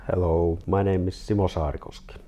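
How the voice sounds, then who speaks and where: A 42-year-old man from Finland with a Finnish accent, talking casually and haltingly as an interview guest. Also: deep voice, little pitch movement, warm tone. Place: artist's studio.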